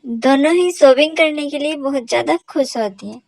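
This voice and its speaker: A woman reading scripted storybook narration for an animated cartoon. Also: high-pitched, moderate pace, creaky, no room sound.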